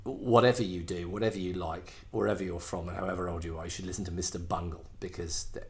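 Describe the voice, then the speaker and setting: A man's voice: low voice, steady tone, fast talker. A middle-aged man from England hosting a casual request show, rambling and self-deprecating, full of fillers and restarts. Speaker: host. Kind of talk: casual chat.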